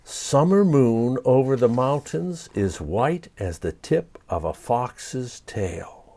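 A man's voice: medium pitch, animated, takes it slowly, dark tone. A man voicing an earnest, anxious male poet in a spoken storybook tale, who hesitates and stammers. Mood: reciting.

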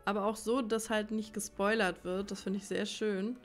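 A woman's voice: medium pitch, little pitch movement, normal speed. A woman giving casual gameplay commentary, rambling and thinking aloud as she plays. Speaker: let's player. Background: game music.

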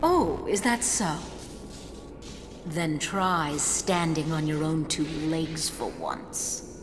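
Haughty, slow-spoken summoner woman. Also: deep voice, very animated, noisy background, heavily acted. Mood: scornful. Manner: condescending, cutting, imperious. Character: haughty, sneering female summoner, adult rival. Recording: hall reverb, game sounds and music behind.

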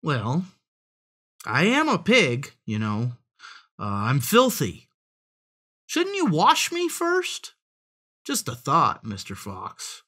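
A woman's voice: low voice, huge pitch swings, slow pace, pauses often, fairly bright tone, slightly rough, voice pushed up, heavily acted. A woman voicing a small, polite young male piglet in a storybook, pleading and hesitant but crafty. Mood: meekly persuasive.

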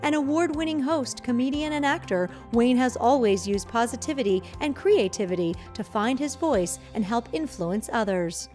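A woman with a fairly high voice reads a scripted introduction in a polished announcer delivery. Soft music plays behind her. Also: animated, moderate pace, few pauses.

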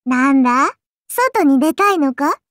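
An imperious little oni god-child for an anime game, high-voiced and very animated. The delivery is slow and lordly, with archaic phrasing and a playful, indulgent haughtiness, like an ancient deity talking down to a pet. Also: female voice, no room sound, studio quality, exaggerated voice. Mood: haughty, playful. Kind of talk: anime game character voice lines.

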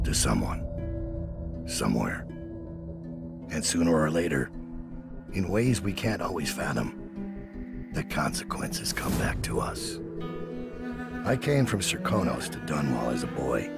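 Very deep, gravelly brooding assassin. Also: male voice, full character voice. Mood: somber, reflective. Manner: grim, deliberate, measured. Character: brooding, world-weary middle-aged male master assassin, menacing and remorseful. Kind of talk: video game voice acting, monologue and combat lines. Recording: long reverb, music underneath.

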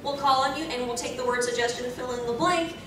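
A woman's voice: sits high, quick pace, lightly acted. A woman voicing a timid, anxious, gloomy young anime character at a cosplay panel, hesitant and fretful. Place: convention panel room on handheld PA mic, filmed from afar. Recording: echoey room, far mic, noisy.

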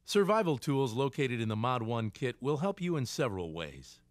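Man, training film narrator, scripted and deliberate, varied intonation, close dry studio sound. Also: medium pitch, very animated, dry recording. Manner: deliberate, clearly segmented instructional delivery.

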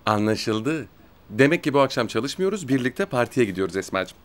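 An actor playing an easygoing, joking office colleague in a TV drama. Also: male voice, mid-range voice, very animated, moderate pace, close to an everyday voice. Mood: playful. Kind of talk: TV drama acting. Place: office, on set.

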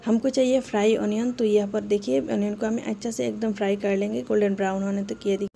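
A woman's voice: mid-range voice, flat delivery, fast, creaky, mellow, a little hiss or hum. A woman talking the viewer through a home cooking recipe step by step, in an instructive voice-over. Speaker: cooking channel host.

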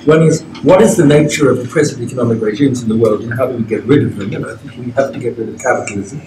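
A man's voice: mid-range voice, fast. An older man speaking bluntly and emphatically as a panelist in an academic discussion. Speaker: conference panelist. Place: outdoor conference terrace. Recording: echoey with background noise.

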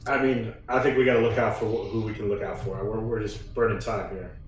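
Male gamer, fairly low, casual, far off in a very roomy echo. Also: steady tone, brisk. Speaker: player in a tabletop roleplaying game. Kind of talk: casual chat. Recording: very echoey, distant from the mic.

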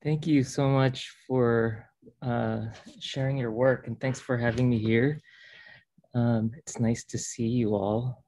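A man's voice: medium pitch, fairly even intonation, slow, darkish tone. A man from the Philippines walks through his art practice over a video call. He speaks in a mid-range voice and hesitates often, filling the gaps with ums and uhs. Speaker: artist. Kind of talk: artist talk on a video call.

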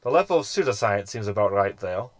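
An actor playing a scheming, sarcastic hologram in a sci-fi comedy audio drama, with an arch, wordy manner. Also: male voice, fairly low pitch, animated, brisk, dry recording, lightly acted. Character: scheming, sarcastic male hologram crewman, self-satisfied. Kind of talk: science fiction comedy audio drama acting.

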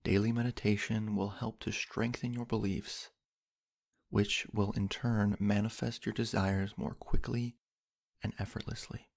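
A man softly narrating a sleep hypnosis meditation in a soothing, hushed, breathy voice with long, unhurried pauses. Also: fairly low pitch, little pitch movement.

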